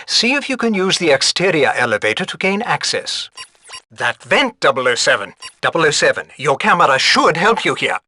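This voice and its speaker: Bright-voiced, fussy British spy gadget master. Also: male voice, on the high side, very animated, normal speed, full character voice. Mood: brisk, instructive. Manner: formal, clipped, dryly exasperated. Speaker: mission support quartermaster. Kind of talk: video game mission briefing voice acting.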